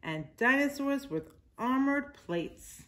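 A woman's voice: sits high, animated, takes it slowly, a bit bright, a little hiss or hum. A woman in her forties reading aloud like a teacher at children's storytime, stressing key words and adding little asides to the listeners. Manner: simple phrases with emphasized words and asides to children. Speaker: teacher reading a picture book to young children. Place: living room at home, indoors.

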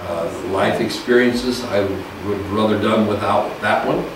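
Older male speaker, loud and folksy, low voice, echoey room with hiss. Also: unhurried. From US (Idaho). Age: 60s. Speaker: guest speaker, government teacher. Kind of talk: informal speech to a small audience. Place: small meeting room, indoors. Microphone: podium mic, heard from afar. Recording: echoey room, distant camera mic, hiss.

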